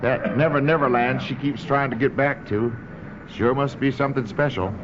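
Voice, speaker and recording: An actor playing a cynical, weary police sergeant in a radio drama. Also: male voice, medium pitch, moderate pace, natural voice. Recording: lo-fi radio, loud street and vehicle noise.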